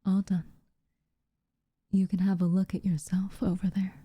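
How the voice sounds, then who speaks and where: Slow, mellow, breathy witch voiced by a woman. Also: mid-range voice, level tone, no room sound, clean studio sound. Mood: firm, gentle. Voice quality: soft, breathy, often near-whispered. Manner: slow, formal, measured wording with reluctant warmth. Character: guarded, haughty young tsundere witch, secretly tender. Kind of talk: ASMR roleplay, softly spoken to the listener.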